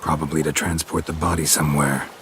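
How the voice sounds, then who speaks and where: A man voicing a gruff, stoic monster hunter in a video game, speaking in terse, deadpan, clipped phrases. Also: rumbling low, fast talker, slight background noise. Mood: terse, businesslike. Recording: music behind the voice. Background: music.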